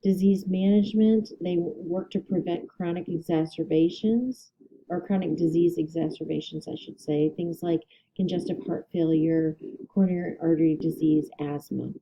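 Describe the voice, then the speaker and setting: A woman instructor giving a recorded lecture, her voice a little hoarse, explaining steadily with ums and self-corrections. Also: fairly low pitch, fairly even intonation, normal speed, dark tone, a little hiss or hum, poor audio. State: slightly hoarse, clearing throat.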